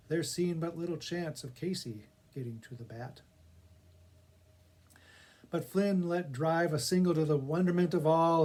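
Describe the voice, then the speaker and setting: A man's voice: a little high, dark tone. A man reading aloud for a library, moving between casual introduction and dramatic, emphatic recitation of verse. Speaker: poetry reader for a public library reading project.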